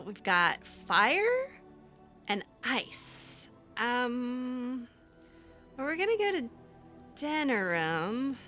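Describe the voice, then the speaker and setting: A woman's voice: medium pitch, bright, forceful tone. A woman playing a video game, improvising chatty, hesitant and effusive roleplay commentary in character. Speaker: video game player and host.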